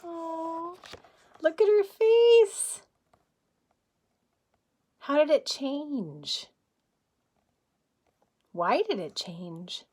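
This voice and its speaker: A woman's voice: sits high, expressive, very slow, pauses often, a little mellow. A woman teacher reading a picture book aloud to young children in a playful way, with chatty asides and questions.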